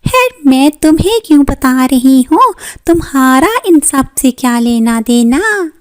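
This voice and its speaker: A woman performs a young bulbul schoolgirl from a children's cartoon. The voice is high and strong, with a boastful, dismissive, teasing manner. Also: very animated, measured pace. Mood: boastful, taunting. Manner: taunting, dismissive. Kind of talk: Hindi children's cartoon character voice.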